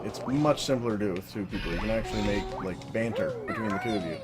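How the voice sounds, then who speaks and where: A woman talking through solo gameplay commentary in a casual, monotone, self-deprecating way, swearing now and then. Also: very deep voice, fast talker. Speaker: let's play commentator. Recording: game music loud behind voice. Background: video game music.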